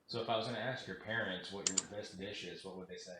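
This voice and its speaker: A man hosts a casual interview over a video call, asking friendly but halting questions full of fillers. His voice is fairly low and rings out in a very echoey room. Background noise sits clearly behind him. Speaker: interview host.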